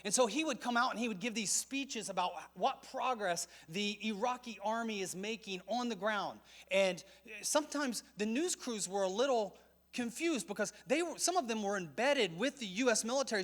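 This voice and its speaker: Middle-aged male conference speaker, high voice, conversational and wry, speaking to a church crowd. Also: fairly bright tone. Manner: conversational and joking, with asides. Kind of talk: conference talk to a church audience.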